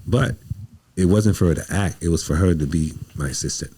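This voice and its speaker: A man's voice: low voice, fairly quick, mellow, slight background noise. An American man talking motivationally as a podcast guest, in an emphatic, preacherly way, often repeating phrases. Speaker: podcast guest, playwright and director. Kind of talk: motivational conversational talk.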